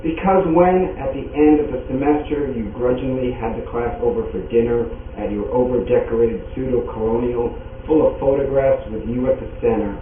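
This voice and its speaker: A man reciting a poem as a reader, in a measured literary reading. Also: medium pitch, fairly even intonation. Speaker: poetry reader. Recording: muffled phone-like sound, distant, echoey, noisy.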